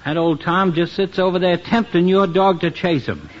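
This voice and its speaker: An actor playing a gossipy, folksy small-town barber in a 1950s radio comedy, needling and nosy. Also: male voice, high-pitched, moderate pace, crowd noise, lightly acted. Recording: muffled old radio broadcast.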